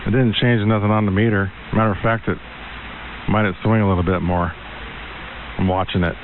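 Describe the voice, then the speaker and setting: A man's voice: lowish voice, fairly even intonation, brisk. A man chatting casually about equipment settings over a CB radio transmission. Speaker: CB radio caller getting setup help. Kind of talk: casual technical chat. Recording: narrow, hissy CB radio transmission, noisy.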